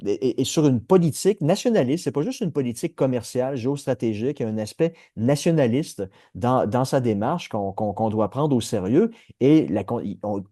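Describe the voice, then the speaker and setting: Québécois male podcast host, warm and dry, thoughtful and meandering delivery. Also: medium pitch, animated, a little fast, hardly any pauses, no room sound. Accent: Québécois French. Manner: discursive, frequent restarts and hesitations. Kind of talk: analytical political discussion.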